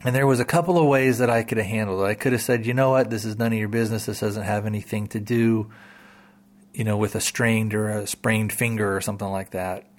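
A man's voice: lowish voice, steady tone, fast. A man hosting a podcast, talking casually to listeners in a rambling way, full of repeats and "you know"s. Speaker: podcast host.

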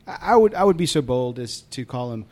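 A man's voice: mid-range voice, lively intonation, a little mellow. A man in his thirties co-hosting a podcast, chatting casually with lots of restarts and fillers. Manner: halting, many fillers and restarts, friendly. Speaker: podcast co-host.

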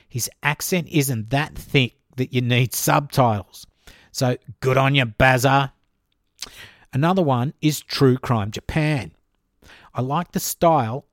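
A man's voice: medium pitch, no echo, clean studio sound. A man with an Australian accent hosting his own podcast, answering listener questions in a chatty, informal way. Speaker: podcast host.

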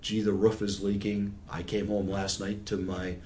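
A man's voice: on the low side, little pitch movement, normal speed, warm tone, slight background noise. A man in his sixties giving patient, conversational explanations with everyday examples, as an expert guest in an interview. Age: 60s.

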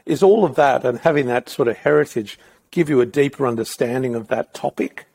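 Male interviewer, Australian, middle-aged, gravelly and husky, thoughtful and rambling in conversation. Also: mid-range voice, brisk, darkish tone, dry recording. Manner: rambling, reflective questioning.

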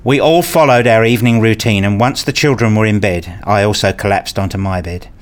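A man's voice: on the low side, a little fast, close to an everyday voice. A man playing a frustrated, pleading father who tells his own life in a radio play, wordy and earnest. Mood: wistful narration. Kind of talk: first-person radio play narration and dialogue.

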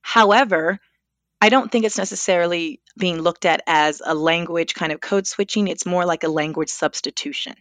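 A woman who is a speech language pathologist, talking thoughtfully and with lively emphasis in a podcast discussion. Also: lowish voice, normal speed, no room sound.

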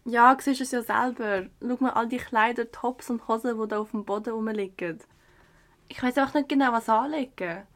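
A woman's voice: a little high, normal speed, close to an everyday voice. A woman with a Swiss German accent playing a self-conscious teenage girl in a youth radio play. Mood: nervous. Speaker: actor in an audio drama.

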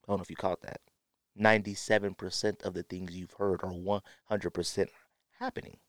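A man's voice: sits low, flat delivery, brisk, dry recording, studio quality. A middle-aged man hosting a podcast in a casual, opinionated monologue, speaking emphatically and repeating phrases for effect. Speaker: podcast host.

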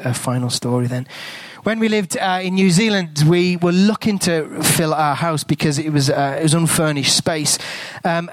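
A man's voice: on the high side, no echo. A man with an English accent preaching as a guest speaker, telling a story in a chatty, conversational way. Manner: conversational storytelling, drops to a hushed whisper in prayer. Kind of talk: sermon with a told story.

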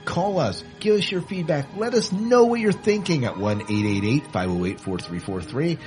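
A man's voice: fairly high pitch, lively intonation, normal speed, a little mellow, soft background music. A man hosting a podcast in a casual, chatty style. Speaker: podcast host.

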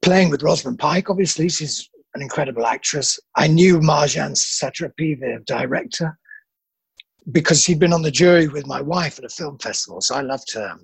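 Middle-aged actor, husky and gravelly, dry booth, reflective interviewee. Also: male voice, a little high, no echo, poor audio. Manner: self-deprecating, halting with restarts. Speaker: film actor.

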